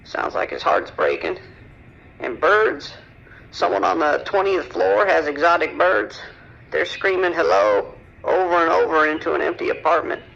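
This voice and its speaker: A distraught male caller with a high, sharp, crackly voice on a muffled phone line. He is hesitant and tender-hearted, pleading anxiously in an emergency-call drama. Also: very animated, moderate pace, creaky, full character voice. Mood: distressed, resolved. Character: distraught, soft-hearted male New York apartment-building resident. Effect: phone or radio filter. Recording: dull, band-limited emergency phone line.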